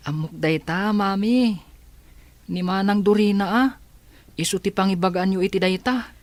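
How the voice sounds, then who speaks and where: A young man playing a gentle, caring son. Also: high voice, moderate pace, no echo, natural voice. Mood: earnest. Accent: Ilocano. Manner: soft, concerned. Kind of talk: Ilocano audio drama.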